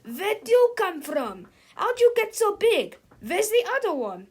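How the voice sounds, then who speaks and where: A woman voicing an excitable, cocky male villain in a read-aloud children's storybook, loud, brash and gloating. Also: very high-pitched, measured pace, pitched above the performer's own voice, exaggerated voice. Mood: startled.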